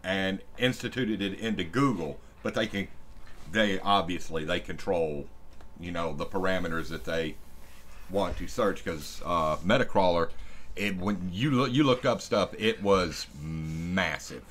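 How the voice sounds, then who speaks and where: Low-voiced man chatting haltingly on a stream. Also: a bit bright. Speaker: live-stream co-host. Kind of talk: casual chat. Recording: home live stream with background noise.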